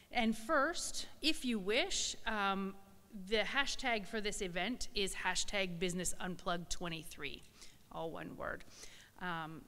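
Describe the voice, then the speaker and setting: A woman's voice: medium pitch, a bit bright, smooth. A woman hosting a live event, making informal announcements in a hesitant, improvised way. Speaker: event host and presenter. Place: large indoor event space, live on stage. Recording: echoey hall, live event PA.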